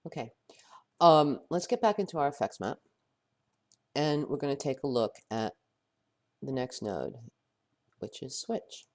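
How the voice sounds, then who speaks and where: A man with a head cold and a fading voice, teaching a software tutorial while he thinks aloud, halting often and correcting himself. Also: medium pitch, expressive. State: head cold, losing his voice. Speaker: software instructor. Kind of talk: screen-recorded software tutorial.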